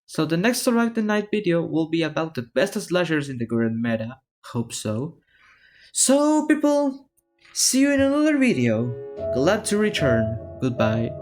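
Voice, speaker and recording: A gaming creator whose first language is Spanish talks through a character ranking, stopping and restarting phrases as the thoughts come. The pitch sits mid-range but swings up and down a lot. Soft music plays quietly underneath. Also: sing-song, moderate pace. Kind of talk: ranking commentary on a game.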